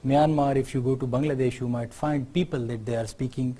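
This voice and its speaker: A middle-aged man with a Pakistani accent giving an unscripted university lecture, often pausing on fillers and checking in with "right?". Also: mid-range voice, fairly even intonation, mellow. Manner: unscripted explaining, many fillers, tag questions like "right?".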